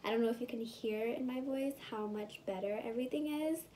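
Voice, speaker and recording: A woman's voice: fairly high pitch, brisk, mellow, a little hiss or hum, rough recording. A young woman chatting casually through a personal vlog update on her recovery, rambling with plenty of fillers and sometimes sounding congested. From California. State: congested and recovering after surgery, sometimes whispering. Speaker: vlogger.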